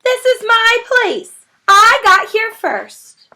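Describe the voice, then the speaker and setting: A small, territorial storybook rat acted out by a woman speaks in a high, forceful shriek. He is indignant and snappish, guarding what is his with loud, swooping outbursts. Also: sing-song, slow, heavily acted. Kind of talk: children's storybook character reading.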